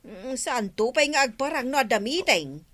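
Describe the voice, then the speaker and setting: A woman with an Ilocano accent playing an excitable, fretful villager in a radio comedy drama. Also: high-pitched, normal speed. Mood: complaining.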